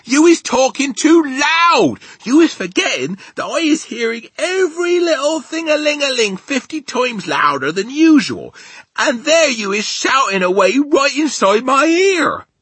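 A man voicing a gentle, excitable storybook giant who fusses and speaks in quirky, ungrammatical phrases. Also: a little high, a little slow, husky, no echo, pitched above the performer's own voice, heavily acted. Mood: pained, pleading. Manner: quirky ungrammatical speech with invented words. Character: big friendly giant, male, gentle, excitable, fussy about his ears.

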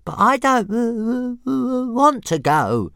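A man's voice: sing-song, takes it slowly, vocal fry, a bit bright, clean tone, dry recording, clean studio sound, exaggerated voice. A man voicing a small, stubborn young dragon who stutters badly and sulks. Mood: frightened stubborn. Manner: stuttering, sulky, squeaky.

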